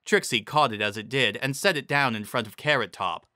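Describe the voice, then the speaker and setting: A man's voice: medium pitch, brisk, sharp tone, no room sound, clean studio sound. A man giving a dramatic reading of a scripted fantasy story as its narrator.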